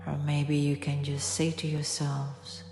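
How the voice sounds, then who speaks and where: Low-voiced woman guiding meditation in long reverb. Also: completely flat delivery. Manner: soft, soothing, unhurried instruction with long pauses. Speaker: guided meditation teacher. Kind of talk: guided yoga nidra meditation. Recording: echoey long reverb, music behind.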